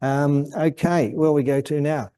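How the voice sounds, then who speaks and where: An Australian man giving an informal technical presentation, hesitant and halting as he thinks aloud. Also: fairly high pitch, fairly even intonation, dark tone, smooth. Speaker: guest presenter at an amateur radio club.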